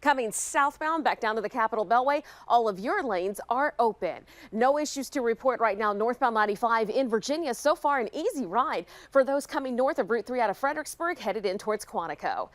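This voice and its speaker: A woman's voice: on the high side, animated, a little fast, few pauses, no echo. An American woman giving a live broadcast traffic report as the traffic reporter.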